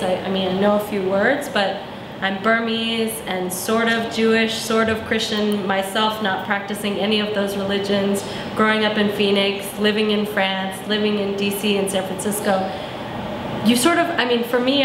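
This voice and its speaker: Young woman from arizona, interview, lots of ums, echoey room w/ noise behind. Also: medium pitch. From Arizona, USA. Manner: thoughtful, searching for words with many ums. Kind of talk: reflective personal interview.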